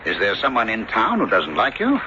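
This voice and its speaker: An actor playing a friendly, skeptical small-town doctor with a probing, no-nonsense manner in radio drama style. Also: male voice, lowish voice, huge pitch swings, bright, forceful tone, full character voice. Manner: probing, briskly dismissive of superstition. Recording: dull, noisy old radio broadcast.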